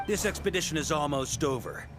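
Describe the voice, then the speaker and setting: A seasoned knight commander speaks with hearty confidence and theatrical swagger. The pitch swings widely in this fantasy game role, with music behind. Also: male voice, lively intonation, a little fast. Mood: bold, rallying. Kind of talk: video game voice acting.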